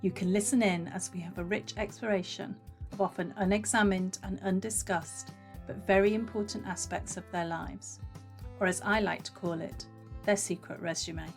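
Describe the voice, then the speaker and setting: A woman with a medium-pitched voice speaks as a podcast host. She presents and interviews in a conversational style, with some faint music in the recording. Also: fairly even intonation, moderate pace.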